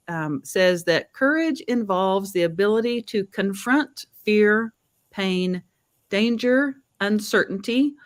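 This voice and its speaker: A woman's voice: mid-range voice, dry recording. A woman from Oklahoma with a strong Southern accent, hosting a casual video chat in a chatty, upbeat way. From Oklahoma, USA. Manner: chatty, upbeat, laughs often. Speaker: show host.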